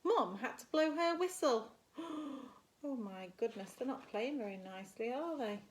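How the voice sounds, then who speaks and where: A woman reading a picture book aloud as a primary teacher would, expressively and with little asides to young listeners. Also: a little high. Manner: animated, slow and deliberate storytelling, gasps and murmurs for effect.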